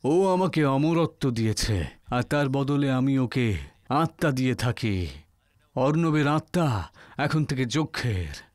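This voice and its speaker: A man's voice: mid-range voice, animated, slow pace, no room sound, studio quality, voice pushed down. Man acting a sinister, taunting mystery guide. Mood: gloating menace. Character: sinister male guide, master of a demon, menacing and gloating. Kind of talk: audio horror story acting.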